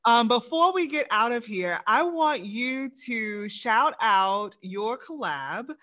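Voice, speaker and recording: A woman's voice: fairly high pitch, expressive, sharp tone. A woman hosting a casual interview, bubbly and slangy, drawing her words out. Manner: bubbly, slow and drawn out, slangy. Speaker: interview host.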